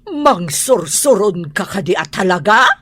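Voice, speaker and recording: A woman playing an ill-tempered, wealthy Ilocano madam in a radio drama, with shrill, indignant outbursts. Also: expressive, unhurried, fairly bright tone, slightly rough, no echo. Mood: indignant, irritated. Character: ill-tempered, scolding wealthy madam, mistress of house servants. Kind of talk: Filipino radio drama acting.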